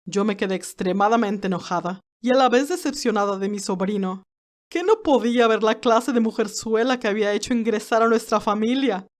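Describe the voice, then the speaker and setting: A woman's voice: on the high side, fairly quick, lightly acted. A woman playing a stern, haughty elderly aristocratic great-aunt who tells her story formally and dramatically, with anguish and resentment. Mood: indignant, disappointed. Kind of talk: first-person dramatized narration.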